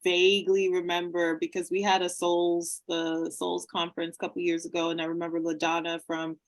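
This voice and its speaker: A woman's voice: fairly low pitch, monotone, fairly quick. A woman hosting an interview, asking rambling, hesitant questions and laughing at herself often. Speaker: podcast host. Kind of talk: casual interview chat.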